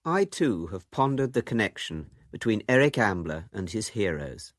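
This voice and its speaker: A man's voice: fairly low pitch, big pitch swings, normal speed, no echo. A man presenting a radio documentary in scripted, literary narration with dry humour.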